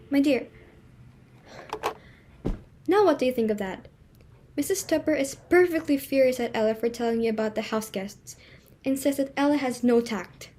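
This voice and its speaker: A high-voiced society hostess and mother, acted out by a woman in a stage comedy reading. She is imperious and easily flustered, and speaks in emphatic, melodramatic exclamations. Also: normal speed, long pauses, warm tone. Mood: insistent, fussing.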